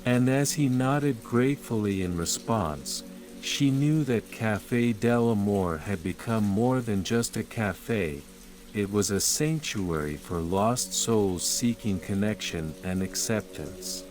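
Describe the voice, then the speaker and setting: A man narrating a sleep story in a calm, measured, soothing way, as scripted bedtime storytelling. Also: on the low side. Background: calm music and rain sounds.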